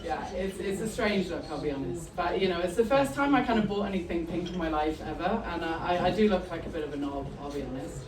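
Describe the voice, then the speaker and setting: A woman's voice: fairly low pitch, fast talker, hardly any pauses. A middle-aged woman from South Africa doing a stand-up set, bantering crudely and mocking herself in front of the crowd. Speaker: stand-up comedian. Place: comedy club with a live audience. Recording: roomy live club sound, mic at a distance, crowd noise.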